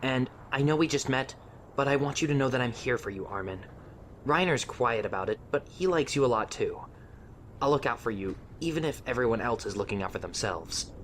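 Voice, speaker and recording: A man playing an earnest, protective teenage boy in an audio drama, speaking with gentle reassurance. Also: medium pitch, lively intonation, fairly quick, a little hiss or hum, natural voice. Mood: reassuring.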